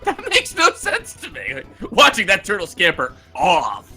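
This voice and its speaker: A man with a high, piercingly bright voice jokes around in casual banter. His pitch leaps around dramatically, and his wisecracks come out mock-indignant, over faint music. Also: huge pitch swings, moderate pace, husky. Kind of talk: casual joking group chat.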